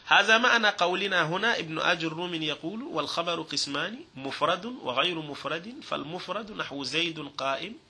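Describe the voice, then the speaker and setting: Bright-voiced man teaching grammar. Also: fairly high pitch, animated, a little fast, few pauses. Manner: explains step by step with worked examples; asks and answers his own questions. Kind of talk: recorded grammar lesson.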